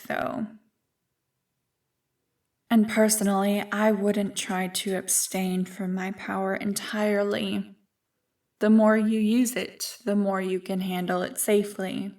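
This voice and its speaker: A woman voicing an ancient, evil primordial being in an ASMR roleplay, smug and tempting, with a deliberate, taunting delivery. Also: mid-range voice, steady tone, darkish tone, distant mic. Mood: ominous, coaxing. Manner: slow, deliberate, taunting, coolly amused.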